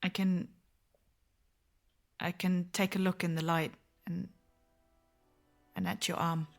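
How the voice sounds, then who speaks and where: Slow, wounded, blunt survivor. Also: female voice, on the low side, little pitch movement, gravelly, soft background music. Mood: weary, guarded. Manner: halting, weary, blunt. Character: wounded, guarded, blunt woman survivor of trauma. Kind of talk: naturalistic audio drama acting.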